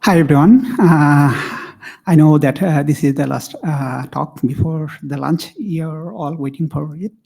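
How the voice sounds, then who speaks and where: A man's voice: on the high side, a little slow, creaky, darkish tone, husky. A man from Bangladesh presenting research at a conference, talking to the room with many fillers and a friendly manner. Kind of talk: academic conference talk.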